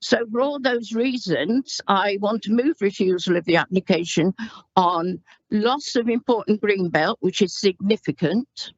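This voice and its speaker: A woman councillor speaks in a council chamber, pausing often and restarting her sentences. The sound is dull and dry, like a meeting microphone. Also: mid-range voice, dry recording. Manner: halting, many ums and restarts. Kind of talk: formal committee speech.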